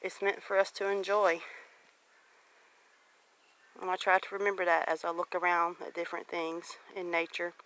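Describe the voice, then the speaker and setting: A woman's voice: on the low side, completely flat delivery, moderate pace, long pauses, fairly bright tone, rough recording. A woman in her late forties talking casually and reflectively to viewers as she walks around outside, in a devout, encouraging manner. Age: late 40s. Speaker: homestead vlogger. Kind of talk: casual reflective walk-and-talk vlog. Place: outdoors on a rural property. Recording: outdoor recording with clear background noise.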